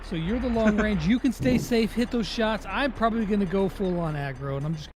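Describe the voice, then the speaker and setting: A man's voice: high voice, a little fast, noisy background. A young man hosting a casual gaming chat, talking in a slangy, enthusiastic way. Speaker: interviewer and gaming host. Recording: music playing behind the voice. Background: music.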